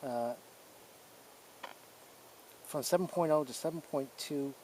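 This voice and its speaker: A man's voice: a little high, slow pace, a little mellow, a little hiss or hum, poor audio. A man presenting as a radio hobbyist, giving casual hands-on demonstration commentary.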